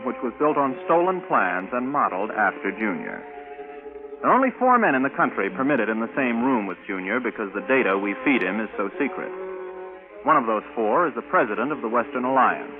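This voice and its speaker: An actor playing a matter-of-fact former rocket pilot turned computer operator in a 1950s radio drama, plainspoken and conversational. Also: male voice, mid-range voice, natural voice. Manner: plainspoken, conversational first-person storytelling. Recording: tinny vintage radio recording with music.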